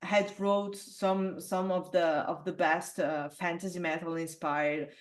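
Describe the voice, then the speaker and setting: A woman from Italy who sings metal, talking through a video call in a casual interview. Her speaking voice is low and alto. She often pauses on "uh" and restarts her sentences. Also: flat delivery. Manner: hesitant, many fillers and restarts. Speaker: metal singer, interview guest.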